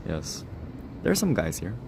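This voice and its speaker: Young guy streaming, chatting w chat, lots of laughing, lowish voice, faint hum behind. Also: wildly swooping pitch, unhurried. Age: 20s. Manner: laughs often, self-mocking, talks straight to chat. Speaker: livestreamer. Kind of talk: casual livestream chat reading comments.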